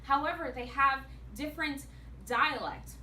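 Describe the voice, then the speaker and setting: A woman delivers an animated prepared speech. Her voice is bright, forceful and fairly high. It sounds like it was caught from across an echoey meeting room, with faint noise underneath. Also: a little slow, far from the mic. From United States. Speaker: public speaker, actor and singer.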